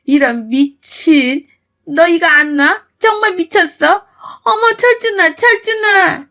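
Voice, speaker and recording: A spiteful, nagging middle-aged mother-in-law, put on by a woman narrator, with a high, piercing voice. She scolds and sneers loudly, her pitch climbing sharply as she rails. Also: lively intonation, normal speed. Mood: shrieking. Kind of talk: storytelling with acted voices.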